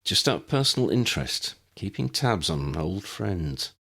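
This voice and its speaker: A man voicing a nervous, eager young male wizard, pitched well above his own voice. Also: sing-song, normal speed, gravelly, sharp tone, breathy edge, full character voice.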